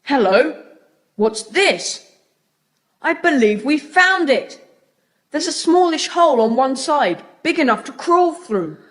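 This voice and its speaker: A woman's voice: sits high, sing-song, slow pace, heavily acted. Confident boy leader, echoing, British. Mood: commanding, excited. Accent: British English. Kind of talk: children's audiobook drama acting. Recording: hissy cassette tape, long reverb.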